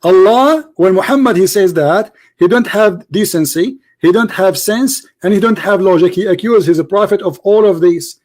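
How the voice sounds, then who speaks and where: A man with a high voice hosts a heated online debate in English, which is not his first language. He mocks and presses his opponent, and his sarcasm builds until he is shouting. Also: moderate pace, hardly any pauses. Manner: sarcastic, mocking, insistent, rising to shouts. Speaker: debate host.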